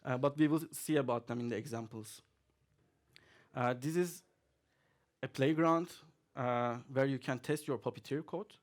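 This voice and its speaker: A man talks through a tech demo at a conference, at an everyday middle pitch. He is relaxed and chatty, leaning on fillers and chuckling now and then as he goes. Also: moderate pace, pauses often. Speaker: conference speaker. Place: conference hall, audience present.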